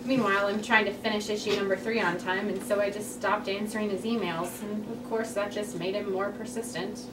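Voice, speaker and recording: A woman's voice: medium pitch, steady tone, brisk, natural voice. A woman playing an earnest, wry young female comic artist at a table read, candid and conversational. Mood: exasperated. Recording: echoey, noisy room, distant mic.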